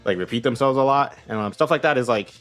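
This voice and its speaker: A man co-hosting a roleplay podcast, talking in a rapid, rambling way and correcting himself as he goes. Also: mid-range voice, a bit bright, faint music behind. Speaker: podcast co-host. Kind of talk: casual roleplay podcast discussion.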